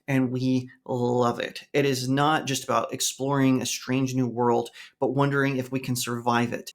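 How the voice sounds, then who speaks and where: A man hosting a video essay, reading scripted narration in a conversational way that speaks straight to the listener. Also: mid-range voice. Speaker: host of a fantasy lore channel.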